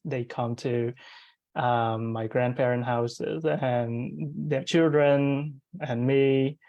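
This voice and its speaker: A young man from Cambodia speaks earnestly over a video call, often pausing and restarting his sentences. His voice is mid-pitched and the sound is dry and close, with no room in it. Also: a little mellow, no room sound. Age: young adult. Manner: earnest, halting, many fillers and restarts. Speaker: guest. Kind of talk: casual interview conversation.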